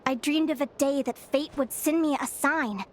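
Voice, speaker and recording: An actress playing a stubborn, determined young advisor in a game cutscene, earnest and reflective. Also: female voice, high-pitched, normal speed, close to an everyday voice. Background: loud music.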